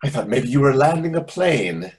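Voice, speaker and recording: A man's voice: mid-range voice, sing-song, normal speed, darkish tone, husky. A man playing a vain, catty, sarcastic waiter of about forty in a readers theatre comedy over a video call. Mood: mocking.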